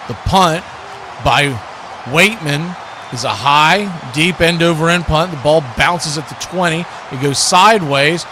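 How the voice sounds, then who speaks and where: A man doing radio-style live football play-by-play commentary, mixed with casual asides. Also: fairly high pitch. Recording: steady background noise.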